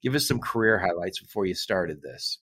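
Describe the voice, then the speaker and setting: A man's voice: low-pitched, expressive, moderate pace, a bit bright, slightly rough. A man hosting a podcast, asking rambling conversational questions with false starts. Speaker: podcast host. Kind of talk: podcast interview.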